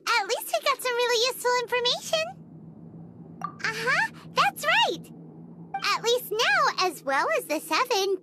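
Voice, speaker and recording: A woman's voice: extremely high pitch, heavily acted. A woman voicing a tiny, curious and talkative fairy companion in a game, who speaks of herself in the third person. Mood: cheerful. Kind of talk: game cutscene voice acting. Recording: loud background noise.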